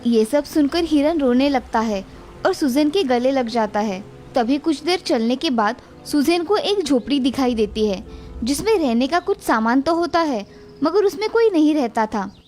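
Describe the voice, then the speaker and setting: A woman narrating an animated children's story in a steady, clear storytelling manner. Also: sits high, moderate pace, soft background music.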